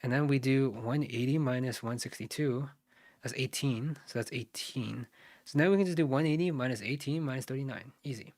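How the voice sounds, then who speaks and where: A man's voice: mid-range voice, brisk, darkish tone, no echo, studio quality. Man muttering through math problems. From United States. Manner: muttering, self-correcting, thinking aloud. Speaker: SAT tutor streaming live. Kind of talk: live think-aloud math walkthrough.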